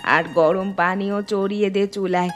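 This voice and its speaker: Woman playing a slow-talking motherly village housewife. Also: mid-range voice, steady tone, faint music behind, lightly acted. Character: motherly, hospitable middle-aged village housewife. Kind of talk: cartoon dialogue dub.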